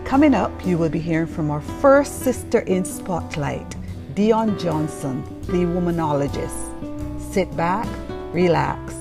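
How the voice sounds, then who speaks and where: Host voice-over: low, gravelly woman, formal measured delivery over background music. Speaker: show host. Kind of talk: scripted show introduction.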